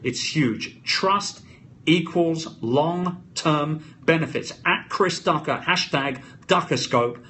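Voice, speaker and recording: A man with a London accent hosts an off-the-cuff motivational live stream. His voice sits a little high and he speaks in emphatic, punchy phrases. The recording is a slightly noisy phone stream. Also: fairly bright tone. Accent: London British. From London, England.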